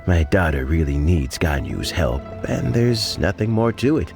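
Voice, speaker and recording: Gravelly, expressive middle-aged father. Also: low voice, measured pace, exaggerated voice. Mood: gruffly fond. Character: proud middle-aged father, an official, gruffly fond. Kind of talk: video game voice acting. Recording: game music behind.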